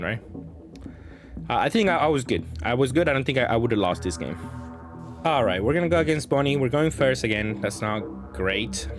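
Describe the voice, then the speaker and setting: A man giving casual gameplay commentary, thinking aloud in a hesitant, self-correcting way. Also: mid-range voice. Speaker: gaming content creator commentating matches.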